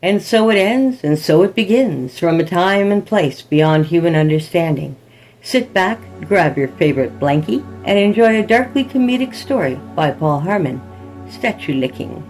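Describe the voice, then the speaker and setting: A woman's voice: fairly low pitch, animated, moderate pace, darkish tone, low-quality recording. Woman narrating horror fiction over faint music. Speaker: podcast narrator.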